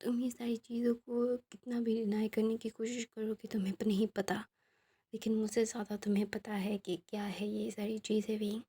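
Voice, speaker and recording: Breathy whispering woman. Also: on the high side, little pitch movement, moderate pace. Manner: hushed, intense whisper. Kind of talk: whispered dramatic storytelling.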